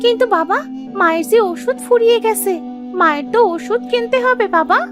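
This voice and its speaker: A woman's voice: very high-pitched, brisk. A woman voicing a caring, dutiful little village daughter in a fairy-tale cartoon, speaking sweetly and soothingly. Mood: concerned. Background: music.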